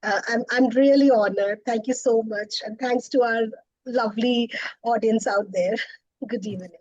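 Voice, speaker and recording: A middle-aged woman from Bengal with an Indian English accent, a poet and professor speaking as a guest, often laughing and thoughtful with pauses. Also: on the high side, steady tone, a little mellow, no echo, dull audio. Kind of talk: interview conversation.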